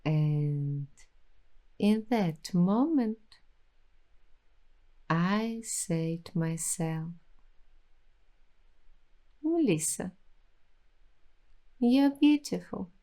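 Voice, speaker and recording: A woman speaks in a gentle ASMR roleplay, lingering over each phrase at a very slow pace. Her voice sits low and mellow, soft and reflective, with an affirming calm. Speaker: ASMR host.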